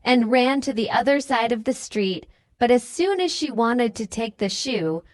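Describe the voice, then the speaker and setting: A woman's voice: on the high side, animated, normal speed, no echo. A woman narrating a scripted children's story as a storybook reader.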